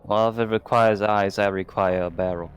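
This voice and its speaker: A man's voice: on the low side, fairly even intonation, normal speed, smooth. A man playing a tabletop roleplaying game, speaking in character. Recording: music playing behind the voice. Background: background music.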